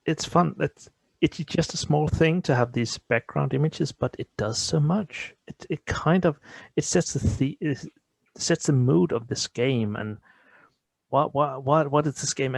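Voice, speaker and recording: A man's voice: medium pitch, vocal fry, dry recording. A middle-aged man from Denmark with a Danish accent, a native Danish speaker talking English in a casual interview, often repeating words as he searches for phrasing. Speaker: interview guest. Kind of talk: casual conversation.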